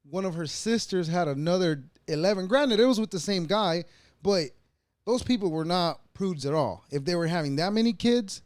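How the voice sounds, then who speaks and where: Higher-voiced man from El Salvador, dry sound. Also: lively intonation, normal speed, dry recording. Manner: conversational, restarts and laughs often. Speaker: podcast host. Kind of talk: casual interview chat.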